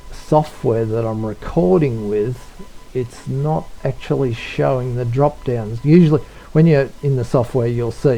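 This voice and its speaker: A man giving an unscripted software tutorial, talking in a low-key, hesitant way as if thinking aloud. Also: poor audio. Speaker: tutorial presenter, radio hobbyist.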